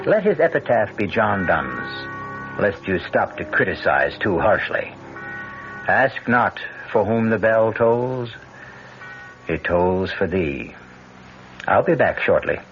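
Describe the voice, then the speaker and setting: A man hosting a radio drama with a solemn, theatrical, deliberate delivery, on a muffled old recording with music. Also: on the low side. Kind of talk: dramatic scripted epilogue, old-time radio.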